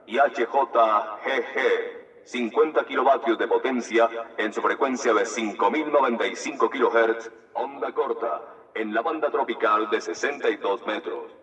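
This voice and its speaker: Man, radio announcer, formal station ID, mid pitch, heavy reverb, muffled old broadcast sound. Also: normal speed, a bit bright. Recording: echoey, distant, dull archival radio.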